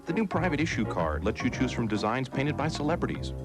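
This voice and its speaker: A man's voice: mid-range voice, sing-song, fairly quick, close to an everyday voice. A man reading a scripted television commercial as its announcer. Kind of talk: scripted TV ad read. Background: loud music.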